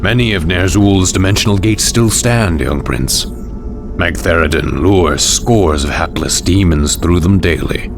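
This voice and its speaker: An actor playing a proud, ancient exiled demon lord in a fantasy video game, speaking gravely and imperiously. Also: male voice, low voice, a little slow, over music. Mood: brooding, resolute.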